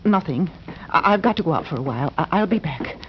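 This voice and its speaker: A woman's voice: deep voice, lively intonation, fast talker, loud music behind the voice. An actress playing an anxious, deferential landlady in a 1940s radio drama, nervous, stammering and apologetic. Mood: anxious, urgent. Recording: muffled, noisy old 1940s radio recording with clicks.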